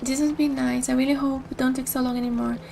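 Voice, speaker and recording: A woman's voice: high voice, level tone, quick pace, gravelly, warm tone, a little hiss or hum. A young woman from Brazil with a Brazilian Portuguese accent, casually chatting while she streams a game. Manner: chatty, rambling, thinks aloud. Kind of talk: casual gameplay commentary.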